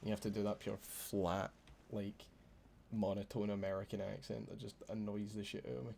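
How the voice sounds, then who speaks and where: A Scottish man with a low, gravelly voice talks through a game from his home streaming setup. He chats loosely and swears freely while he banters with his viewers. Faint ambient noise sits behind him. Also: little pitch movement, normal speed, dark tone. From Scotland. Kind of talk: casual gameplay commentary.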